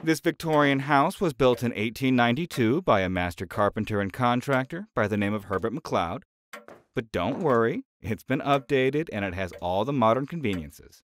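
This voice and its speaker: Man as chatty small-town realtor, lively pitch. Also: normal speed, a little hiss or hum, close to an everyday voice. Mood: friendly, folksy. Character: friendly, chatty small-town male realtor, slightly evasive. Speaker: real estate agent showing a house. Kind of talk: audio drama acting. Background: faint music.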